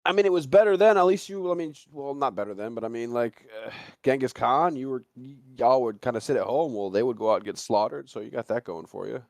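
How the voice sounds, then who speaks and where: A man's voice: mid-range voice, quick pace, gravelly, hardly any pauses, darkish tone, dry recording, studio quality. A man hosting a casual talk stream, interviewing a guest in a rambling way and often circling back to rephrase his questions. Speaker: stream host.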